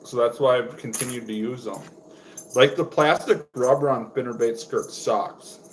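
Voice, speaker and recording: A young man from Wisconsin with a low voice, chatting casually on a home livestream while making fishing lures, rambling with lots of fillers. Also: normal speed, a little hiss or hum, low-quality recording. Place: indoors at home.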